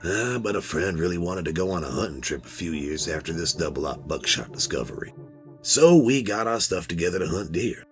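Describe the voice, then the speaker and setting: A man's voice: deep voice, expressive, fairly quick. A man playing a middle-aged hobbyist who tells a first-person horror story in a folksy, rambling, conversational way. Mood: hushed, uneasy. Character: laid-back middle-aged male metal detector hobbyist recounting a strange find. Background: music.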